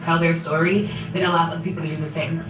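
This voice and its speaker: Woman w a deep voice, calm n thoughtful, documentary clip, far off and echoey. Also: racing. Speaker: documentary interviewee. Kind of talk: documentary interview.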